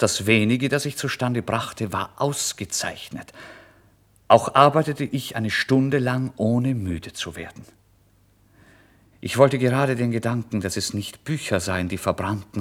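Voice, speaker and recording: A man giving a dramatic first-person literary narration in a theatrical manner that moves between hushed and excited. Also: medium pitch. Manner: theatrical, swinging from hushed murmurs to excited outbursts.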